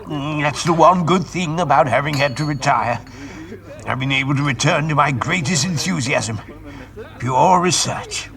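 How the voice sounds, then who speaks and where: A man's voice: a little high, moderate pace, gravelly, fairly bright tone. A man playing a genial, enthusiastic retired aristocrat scientist in an audio drama.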